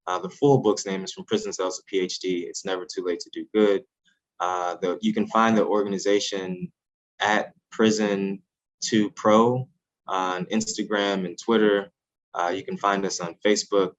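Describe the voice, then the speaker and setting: A man speaking as an interview guest, earnest and measured, with frequent fillers and restarts. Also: sits low, muffled recording. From United States, Haitian family. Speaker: podcast guest, author. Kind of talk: reflective interview talk.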